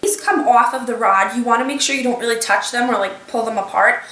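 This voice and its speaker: A woman's voice: a little high, a little fast, a bit bright, recorded from a distance. A young woman who sounds slightly nasal from a cold, chatting her way through a beauty tutorial step by step with plenty of fillers. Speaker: beauty vlogger. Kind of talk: casual talk-through tutorial. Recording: echoey room, voice a bit off-mic.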